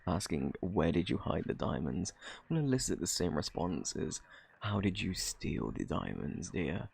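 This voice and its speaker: Man playing a husky, gravelly flirty British thief. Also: sits low, very animated, unhurried, darkish tone, heavily acted. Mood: sardonic, indignant. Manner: teasing, sarcastic, theatrical drawl. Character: flirty, cocky, sardonic male thief under interrogation. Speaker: audio roleplay performer. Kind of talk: ASMR roleplay acting.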